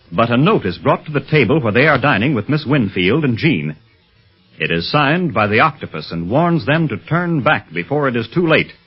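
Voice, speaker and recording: A man's voice: mid-range voice, breathy edge. A man narrating an old-time radio adventure serial as its announcer. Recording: muffled vintage radio recording.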